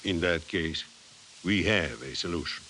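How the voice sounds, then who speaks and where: Deep-voiced courteous scientist, old radio. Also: male voice, measured pace, sharp tone. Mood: assured, intent. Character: courteous, calmly assured male foreign chief scientist. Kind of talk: 1950s radio drama acting. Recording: hissy 1950s radio recording.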